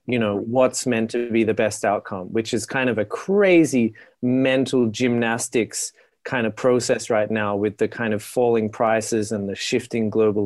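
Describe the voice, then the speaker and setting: A man's voice: medium pitch, darkish tone, no room sound, studio quality. A man hosting a casual interview podcast, joking and chatty, with lots of "kind of" fillers. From Australia. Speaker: podcast host.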